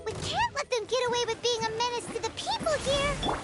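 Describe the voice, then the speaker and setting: A woman voicing a tiny, childlike fairy companion in a video game, squeaky, bossy and excitable. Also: fairly quick, music in the background. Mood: alarmed, determined. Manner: exclamatory, refers to self in third person. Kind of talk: video game character acting.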